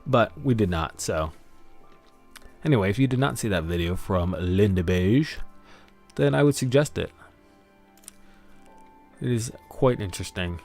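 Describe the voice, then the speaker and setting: A man's voice: fairly low pitch, animated, a little slow, creaky, pauses often, darkish tone, faint music behind. A man streaming his game prep in a casual way, rambling to himself as he works. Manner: rambling self-talk while working. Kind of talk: casual thinking-aloud stream commentary.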